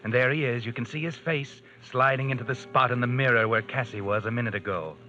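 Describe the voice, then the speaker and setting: A man's voice: medium pitch, fast talker, pushed and bright, a little hiss or hum, lightly acted. An actor playing a mysterious male narrator in an old radio drama, who tells the hero what he is feeling and doing. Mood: insinuating. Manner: insinuating, speaks to the hero as "you". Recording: dull old radio recording with music.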